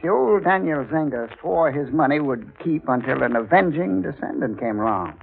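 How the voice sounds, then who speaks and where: Creaky older country town official, folksy n amused, old radio drama, tinny sound. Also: male voice, on the high side, animated. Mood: amused, gossipy. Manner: folksy, chuckling, unhurried hemming. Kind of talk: 1940s radio drama acting. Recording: muffled, faintly noisy 1940s radio recording.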